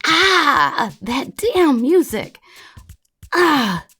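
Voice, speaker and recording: Woman playing a slow, halting, puzzled old woman. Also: high voice, big pitch swings, bright, forceful tone, voice pushed up, exaggerated voice. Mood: confused, strained. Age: older. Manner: halting, searching for words. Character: puzzled, cryptic, self-effacing old woman wanderer.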